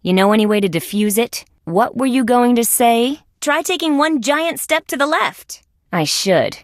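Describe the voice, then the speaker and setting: A woman's voice: on the high side, lively intonation, normal speed, no echo, clean studio sound, lightly acted. A woman voicing a tough, wisecracking female insect police detective in a video game, with a wry, sardonic delivery. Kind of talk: scripted game dialogue, detective banter.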